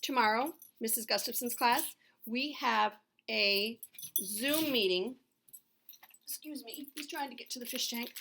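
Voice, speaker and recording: A woman's voice: on the high side, measured pace, creaky, pushed and bright, slight background noise. A middle-aged woman teacher giving a lesson to young children, asking questions and answering them in simple, explanatory phrases. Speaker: elementary school teacher.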